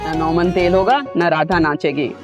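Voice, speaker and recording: An actress playing a sarcastic, sceptical middle-aged aunt in a family TV drama, with a Pakistani accent. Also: female voice, lowish voice, steady tone, moderate pace, music in the background, close to an everyday voice. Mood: sarcastic, dismissive. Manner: sarcastic, scolding.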